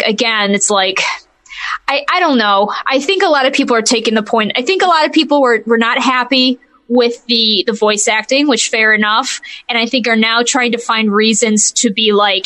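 A woman's voice: on the high side, normal speed, bright, forceful tone. A woman co-hosting a casual gaming podcast, giving opinions hesitantly and often circling back to qualify herself. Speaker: podcast co-host.

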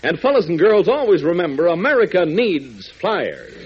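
A male radio announcer narrating a 1940s adventure serial in a dramatic, excited style, with a husky voice. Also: high-pitched, moderate pace, heavy background noise. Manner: dramatic, building excitement in countdowns. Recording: dull lo-fi 1940s radio transcription.

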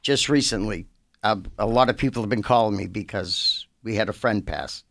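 A man's voice: lowish voice, normal speed, fairly bright tone. A middle-aged man, chatting casually and telling stories as a guest in a radio studio. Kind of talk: casual chat, storytelling.

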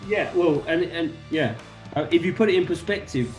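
English musician telling band stories. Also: male voice, fairly high pitch, moderate pace, faint music behind. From England. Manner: animated anecdotes, quotes others. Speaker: guest band member. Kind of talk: casual interview storytelling.

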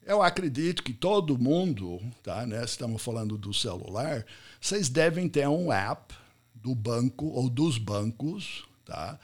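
A middle-aged man with an American accent gives a live online talk in Portuguese, his second language after English. He tells stories with lively repetition and a steady, mid-pitched voice. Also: a little slow. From United States. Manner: expressive storyteller, repeats words for effect. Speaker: event speaker.

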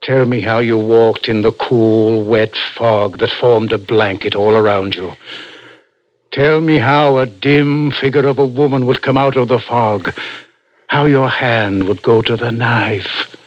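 An actor playing a crafty police interrogator in a 1960s radio drama, coaxing and insinuating with deliberate, halting questions. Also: male voice, mid-range voice, steady tone, dry recording, natural voice. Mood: confiding, coaxing. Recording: dull vintage radio broadcast recording.

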